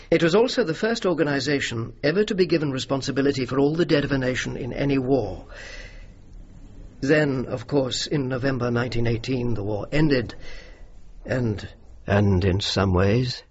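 An actor playing a courteous, determined senior British officer with an English accent, speaking formally and deliberately in a radio drama. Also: male voice, mid-range voice, slightly rough. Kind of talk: radio drama narration and dialogue. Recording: slightly muffled radio recording, background noise.

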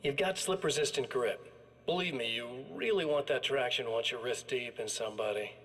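A man's voice: mid-range voice, moderate pace, fairly bright tone, lightly acted, low-quality recording. A man playing a sadistic evil-twin killer in a video game, chatting with smug, casual menace. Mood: smug relish. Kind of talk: video game monologue acting.

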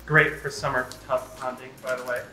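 Male co-host, mid-pitched and bright, casual shop-floor chat in a reverberant room. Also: flat delivery, normal speed, a little hiss or hum. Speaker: aquarium shop staff co-host. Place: indoors in an aquarium shop.

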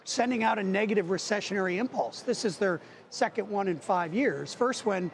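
A middle-aged man speaking as a market strategist on a TV news set, arguing points quickly with many figures. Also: sits high, gravelly, a little hiss or hum. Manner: dense with figures, argumentative. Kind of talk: financial news analysis. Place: TV studio on a trading floor.

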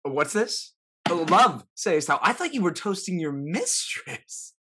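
A boisterous gentleman drinking companion in period stage comedy. His husky voice swings widely as he teases and mocks. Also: a little high, big pitch swings, a little slow, heavily acted. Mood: mock shocked. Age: young adult. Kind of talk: theatrical classical stage comedy acting. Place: home room, video call.

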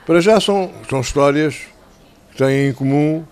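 Slightly hoarse older Portuguese man. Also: fairly high pitch, moderate pace, a little mellow. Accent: European Portuguese. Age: 60s or older. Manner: didactic, conversational, repeats phrases for emphasis. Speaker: children's author. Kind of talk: talking and telling stories to schoolchildren. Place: covered outdoor tent in a town square. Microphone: handheld microphone.

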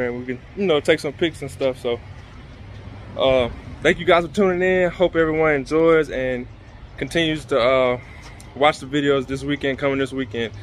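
Young man vlogging outdoors over loud noise. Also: mid-range voice. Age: young adult. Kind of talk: casual vlog talk to viewers. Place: outdoors, at a gas station and on streets. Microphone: phone held at arm's length. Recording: outdoor phone recording with vehicle noise.

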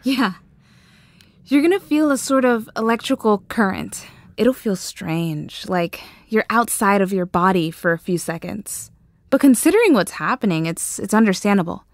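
A woman acting in an audio drama as a kind, excitable shop owner with a secret, speaking expressively. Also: mid-range voice, normal speed, no room sound, close to an everyday voice. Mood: instructive.

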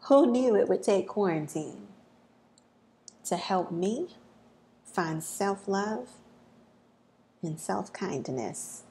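A woman in her thirties performing a spoken word poem at home, slow and measured with dramatic pauses, sometimes dropping to soft, breathy near-whispers, recorded on a wired earphone mic. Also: medium pitch, lively intonation, a little hiss or hum. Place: small room at home, indoors.

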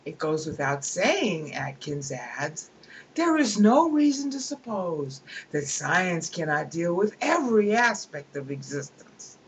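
A woman's voice: lowish voice, very animated, slow pace, slight background noise, poor audio. A woman reading a book aloud at her computer and breaking off into emphatic, scornful commentary. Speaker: reader and commentator.

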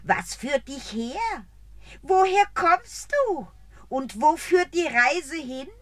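A high, sing-song old witch from a fairy-tale radio play who speaks slowly, as a woman acts her out. The recording is close and dry. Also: dry recording. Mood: startled. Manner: exclaiming, animated. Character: old forest witch, gruff but helpful. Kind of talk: audio drama acting.

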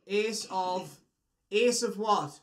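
A man's voice: high voice, extremely slow. A British man in his twenties chatting casually with strangers as a magician. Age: 20s. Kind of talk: casual chat with strangers on video. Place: small room at home.

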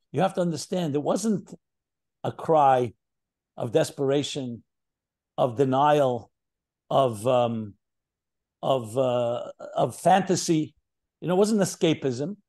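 A man's voice: a little high, darkish tone. An older man with an American accent, a rabbi leading an online session, speaking in an earnest, searching way in short phrases on a video call. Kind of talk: reflective spiritual talk.

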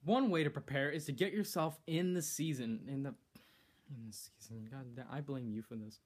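A teenage boy hosting a comedic skit in a living room, bossy and exasperated, bursting into shouts, recorded on a camera mic a few feet away. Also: mid-range voice, moderate pace, husky. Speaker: host. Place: decorated living room indoors.

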